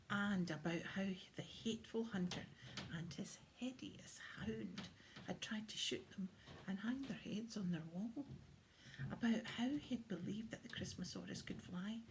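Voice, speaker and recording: A woman's voice: medium pitch, darkish tone. Woman reading a children's story, noisy recording. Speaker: audiobook reader. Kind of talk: scripted children's story narration. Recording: clear background noise.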